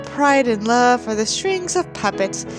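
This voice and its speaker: A woman's voice: a little high, lively intonation, measured pace, over music. Woman reading as a self-important brooding young narrator. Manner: earnest, self-important, melodramatic. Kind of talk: dramatic book reading.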